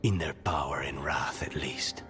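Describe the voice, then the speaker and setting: Brooding male assassin, fantasy game: deep, unhurried, whispery edge, loyal and grim, music underneath. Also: fairly even intonation. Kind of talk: dramatic video game acting.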